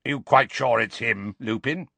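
A man voicing a grizzled old male wizard veteran, gruff, suspicious and irritable, with a growling, barking delivery. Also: medium pitch, a little slow, bright, forceful tone, no room sound, exaggerated voice. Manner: barking, commanding.

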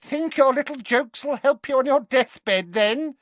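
A man's voice: very high voice, measured pace, fairly bright tone, no room sound, voice pushed up. A man voicing a spiteful, sneering woman villain in an audiobook, jeering at her enemy. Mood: angry jeering.

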